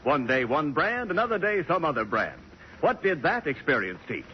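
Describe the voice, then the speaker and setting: A male announcer reading a forties radio commercial. His delivery is highly animated and a bit high in pitch, on muffled sound with clear noise. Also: normal speed. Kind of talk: 1940s radio advertising read. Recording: noisy, muffled old radio broadcast.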